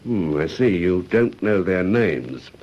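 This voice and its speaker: An actor playing a courteous, cautious police detective who asks measured, polite questions in a radio drama. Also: male voice, low voice, animated, muffled recording, exaggerated voice. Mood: polite, probing.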